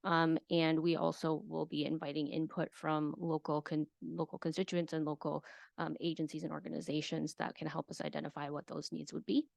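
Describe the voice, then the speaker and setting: A woman who works as an extension educator, explaining a program to a county committee in a hesitant way, with many filler pauses. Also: low voice, little pitch movement, creaky, darkish tone, no echo. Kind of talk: committee meeting presentation.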